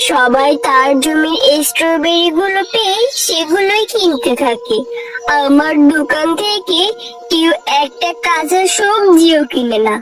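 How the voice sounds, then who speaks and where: A woman voicing a jealous, gloomy village vegetable seller in a cartoon, a scheming husband who grumbles in drawn-out phrases. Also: extremely high pitch, heavily acted. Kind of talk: cartoon dialogue. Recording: distant voice under loud music.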